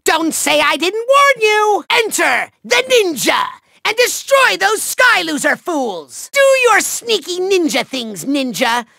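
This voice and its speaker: A man voicing a petty, boastful, tantrum-prone villain overlord in a video game, theatrical, taunting and whiny, with a shrill nasal voice. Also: very animated, slow pace, vocal fry, sharp tone, heavily acted. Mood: theatrical menace, then bossy, urgent. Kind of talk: over-the-top video game villain acting.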